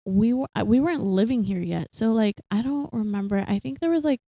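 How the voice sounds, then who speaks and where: A young woman co-hosting a casual chat podcast, animated and quick to laugh, full of fillers. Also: fairly high pitch, dry recording. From Philippines, raised partly in Singapore. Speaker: podcast co-host.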